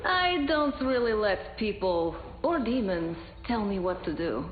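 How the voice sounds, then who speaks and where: An actress playing a bold, self-assured heroine in a video game. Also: female voice, medium pitch, very animated, a little slow, echoey room, phone-quality sound, soft background music. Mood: defiant. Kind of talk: video game hero banter.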